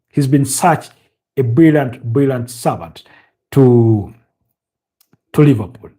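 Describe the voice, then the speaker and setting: A Ugandan man runs a relaxed football news stream and answers viewer comments between scores. His voice is dark and mellow. He talks loosely, fills gaps with ums and uhs, and stops to recall names. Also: mid-range voice. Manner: loose and unscripted, many fillers, pauses to recall names. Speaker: host of a football news livestream. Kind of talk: casual live commentary reading viewer comments.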